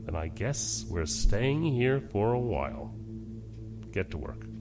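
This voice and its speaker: Starship captain: deep, authoritative, firm yet varied delivery, sci-fi audio drama, faint music underneath. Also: male voice, big pitch swings, slow. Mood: measured, resolute. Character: calm, commanding male starship captain. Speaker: voice actor.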